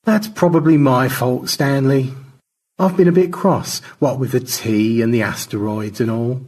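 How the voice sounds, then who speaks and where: A man voicing a languid, pompous gentleman space adventurer in an audio story, with florid, airily unflappable delivery. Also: mid-range voice, a little slow, pitched above the performer's own voice, lightly acted. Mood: airy, unruffled.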